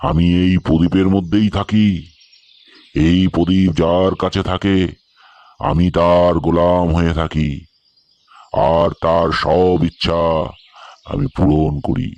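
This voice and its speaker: A man voicing a fearsome but obliging genie servant in a fairy-tale cartoon, with a booming, servile manner. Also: slow pace, pauses often, darkish tone, highs cut off. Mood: grand, obliging.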